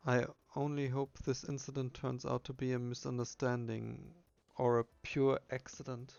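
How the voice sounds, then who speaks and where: A caring, earnest woman medic from an adventure game, read out by a man. The delivery is polite and gentle, staying fairly level in pitch, on a dull recording. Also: little pitch movement, normal speed, vocal fry, clear, resonant tone, no room sound, natural voice. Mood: worried.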